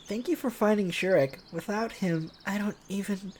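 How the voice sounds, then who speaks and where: Man putting on an excitable, higher-pitched young camper. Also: moderate pace, a little hiss or hum, lightly acted. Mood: grateful, eager.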